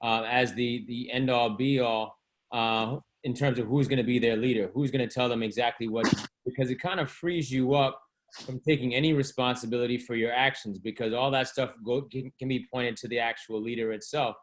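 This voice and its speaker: Measured man commenting on a video call. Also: mid-range voice, fairly even intonation, rough recording. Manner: measured, hesitant. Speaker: discussion participant. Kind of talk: discussion comment.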